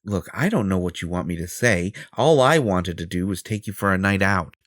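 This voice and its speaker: Man acting as a smug superhero, low voice, theatrical, self-important, flirtatious, possessive, close dry recording, audio drama. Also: animated, normal speed, a bit bright, dry recording. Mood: smooth, flirtatious.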